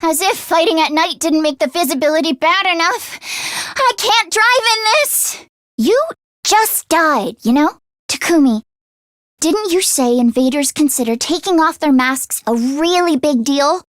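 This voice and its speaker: Very high-pitched, expressive anxious teenage girl. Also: measured pace, no room sound, clean studio sound. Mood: exasperated, firm. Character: anxious, earnest teenage girl mechanic fighting in a war. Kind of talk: English video game voice acting, isolated voice lines.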